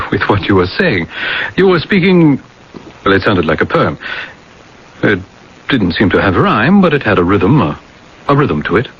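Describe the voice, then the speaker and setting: Probing doctor, low voice, animated, precise questioning, patient, radio-drama style. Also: male voice, normal speed, pauses often, husky, full character voice. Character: probing, puzzled psychiatrist, patient but insistent. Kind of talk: 1980s radio drama acting. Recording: dull, lo-fi old radio recording.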